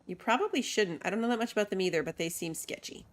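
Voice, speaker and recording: A woman's voice: medium pitch, animated, fast. A woman streaming a video game, chatting casually and rambling with lots of "like". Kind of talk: casual gameplay commentary.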